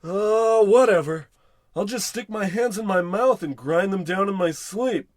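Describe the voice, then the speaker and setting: Male actor for cocky salesman, old-time radio parody. High voice, patronizing, dismissive, dry studio sound. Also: a little slow, dry recording. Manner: bossy, patronizing.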